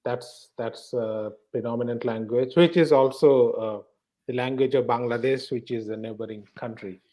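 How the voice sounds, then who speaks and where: A man with a Bengali Indian accent talks casually as an interview guest. He is a native Bengali speaker, and he feels his way through answers, pausing and repeating words. His voice sits at a medium pitch. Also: steady tone, mellow. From West Bengal, eastern India. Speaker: podcast guest, bank professional. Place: at home.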